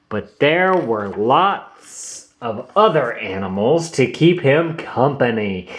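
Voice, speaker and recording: Guy reading a kids book out loud, slow n dramatic, pitch going all over the place. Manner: expressive, theatrical emphasis with drawn-out phrases. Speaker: narrator reading a children's picture book. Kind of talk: read-aloud storytelling for young children.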